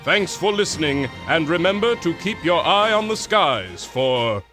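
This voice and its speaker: A man's voice: a little high, big pitch swings, unhurried. A man announcing in the scripted style of an old-time radio adventure serial. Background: theme music.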